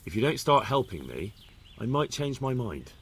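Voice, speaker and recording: An actor playing a determined young man questioning suspects in a video game, direct and quick to threaten. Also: mid-range voice, big pitch swings, breathy edge. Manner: direct, threatening when pushed. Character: blunt determined young man investigating an ambush.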